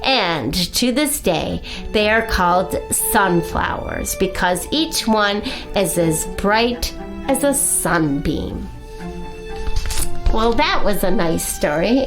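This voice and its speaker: A woman reading a children's story aloud as a library storyteller, with expressive, dramatic emphasis. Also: medium pitch, over music. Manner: animated, unhurried, dramatic emphasis. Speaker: library story-time reader.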